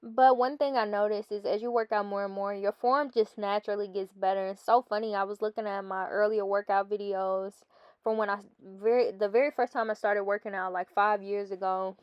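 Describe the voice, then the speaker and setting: A woman's voice: mid-range voice, fairly even intonation, fairly quick, clear, resonant tone, no room sound, lo-fi sound. Chatty stuffy-nosed young woman. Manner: chatty, laughing, talks to viewers as "guys". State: stuffy nose. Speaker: fitness vlogger. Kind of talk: casual voice-over chat.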